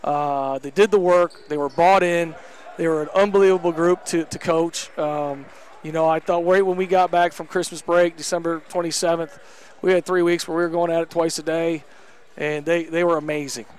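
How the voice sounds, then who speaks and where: Candid coach in a post-game interview. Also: male voice, a little high, fairly even intonation, normal speed, clean tone. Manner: candid, emphatic, many fillers. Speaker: head basketball coach. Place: indoor gym court. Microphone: headset.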